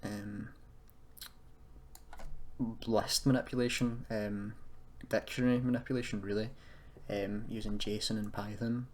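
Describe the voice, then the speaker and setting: A man giving an informal software tutorial, thinking aloud in a hesitant, self-deprecating way as he goes. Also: on the low side, level tone, a little slow. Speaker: tutorial presenter. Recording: noisy room with keyboard clicking.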